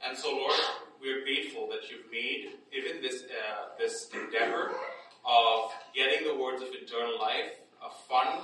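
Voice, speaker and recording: A man's voice: medium pitch, pushed and bright, slight background noise. A man leading a Bible study class, explaining casually with frequent "right?" checks and restarts, then praying aloud. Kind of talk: casual teaching, then spoken prayer. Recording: echoey room, distant mic, faint ambience.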